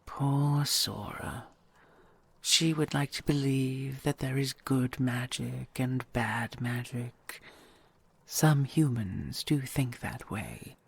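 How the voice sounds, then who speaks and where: A woman playing a possessive, doting dragon queen in an audio roleplay, speaking in a breathy, creaky near-whisper with regal, formal tenderness. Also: a bass voice, dry recording, full character voice. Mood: earnest, possessive. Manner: slow, regal, formal endearments with soft sighs.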